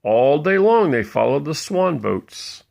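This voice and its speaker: A man reading a picture book aloud to young children, playful and expressive, pausing to point things out. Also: on the high side, vocal fry. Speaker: parent reading a bedtime story aloud.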